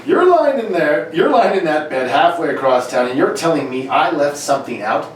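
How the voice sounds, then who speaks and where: A man playing a gruff, exasperated older police inspector in an amateur comedic radio play, blustery and halting as he reads. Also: fairly high pitch, expressive, lightly acted. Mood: blustery, indignant. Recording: echoey room, distant mics, noisy.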